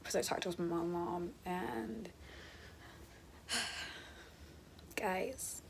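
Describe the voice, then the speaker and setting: A teenage girl chatting casually to viewers in a vlog, her voice a bit raspy, filmed on a phone held close in a small bedroom. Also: on the low side, very slow, slight background noise. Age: late teens. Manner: chatty, rambling, addresses viewers as guys. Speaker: vlogger. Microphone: phone held close at arm's length.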